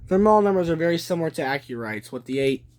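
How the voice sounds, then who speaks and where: A young adult man giving a casual show-and-tell narration on a phone at home, listing items deliberately in short phrases. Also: on the high side, animated, a little mellow. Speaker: hobby collector presenting a video. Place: small room at home. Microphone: handheld phone behind the camera. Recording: noisy handheld home video with clicking.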